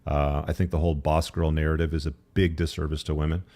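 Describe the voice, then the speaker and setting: A man's voice: extremely low pitch, level tone, fast, dark tone. A man speaking as an interview guest, explaining his opinions in a conversational, opinionated way. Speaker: podcast guest, author.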